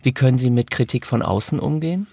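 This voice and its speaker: A man's voice: medium pitch, fast talker, gravelly, darkish tone, no echo. A man interviewing a guest, putting polite, prepared questions to him.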